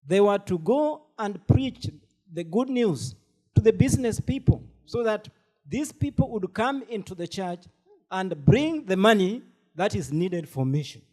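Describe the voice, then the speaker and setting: A man from Kenya, a panelist with a business doctorate, answering in a measured, deliberate way. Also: high voice, lively intonation, darkish tone.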